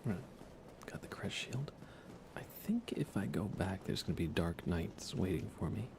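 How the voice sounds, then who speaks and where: A man doing soft-spoken ASMR game commentary as a live streamer, murmuring and sometimes slipping into a whisper. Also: sits low, animated, moderate pace, vocal fry.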